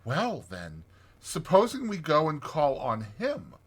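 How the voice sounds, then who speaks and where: A mild little storybook Mole, played by a man. He speaks tentatively and more quietly, with a husky edge and a timid eagerness in his suggestions. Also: fairly high pitch, wildly swooping pitch, takes it slowly, voice pushed up, exaggerated voice. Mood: tentative, hopeful.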